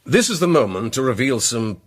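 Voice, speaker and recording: An actor playing a pompous, self-assured older English gentleman lawyer in a radio drama, setting out his case with rhetorical deliberation. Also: medium pitch, big pitch swings, brisk. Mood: grave, assertive.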